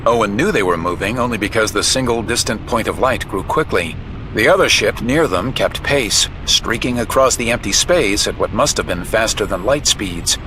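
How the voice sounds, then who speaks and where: A man's voice: lowish voice, animated, moderate pace, sharp tone, a little hiss or hum, rough recording. A man giving dramatic scripted narration of a story as its narrator.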